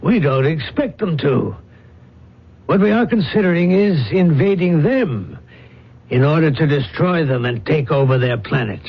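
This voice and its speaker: An actor playing a cool, calculating controller of an alien planet in a radio drama, speaking in a measured, insinuating way. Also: male voice, fairly high pitch, lively intonation, slight background noise. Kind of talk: old-time radio drama acting. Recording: dull, muffled radio drama recording.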